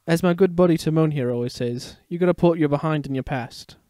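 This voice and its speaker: Man doing an earnest, singsong warthog, pitched up. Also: brisk, mellow, no room sound, studio quality, close to an everyday voice. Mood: proud. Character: good-natured, sensitive male warthog, proud and earnest. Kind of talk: dramatic fan fiction reading.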